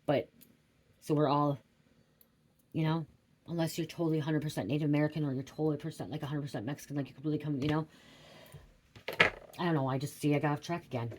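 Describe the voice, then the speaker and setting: A woman's voice: low voice, steady tone, fast talker, pauses often, mellow, slight background noise. Rambling, profane woman tarot reader. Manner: rambling, swears often, keeps asking "okay?". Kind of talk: rambling casual livestream reading.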